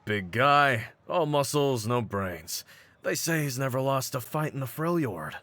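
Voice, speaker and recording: A man voicing a cocky, brawny pit-fighting champion in a roleplay audio, with a deep voice and streetwise, mocking swagger. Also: animated, unhurried, sharp tone, no room sound. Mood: mocking, assured. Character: cocky, brawny half-breed pit-fighting champion, hyping his partner. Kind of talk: scripted in-character roleplay audio.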